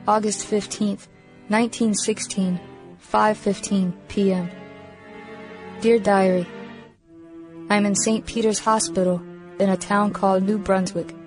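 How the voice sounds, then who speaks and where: A woman's voice: mid-range voice, little pitch movement, measured pace, long pauses, lightly acted. A woman voices a brave little boy in a radio drama. He reads his diary simply and earnestly, with childlike innocence, over faint music. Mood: earnest, matter-of-fact. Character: plucky young boy recovering from shark attack, earnest, innocent. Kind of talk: radio play diary monologue.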